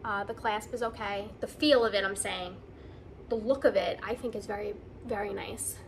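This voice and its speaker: A woman vlogger chatting casually to camera in an excited, gushing, conversational way, as in an unboxing video. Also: medium pitch, moderate pace, slight background noise, low-quality recording.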